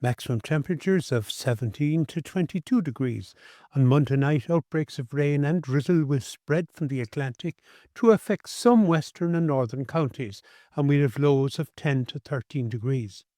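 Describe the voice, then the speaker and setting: A man's voice: on the high side, animated, normal speed, warm tone, dry recording, studio quality. A man with an Irish accent reading a scripted weather forecast for community radio.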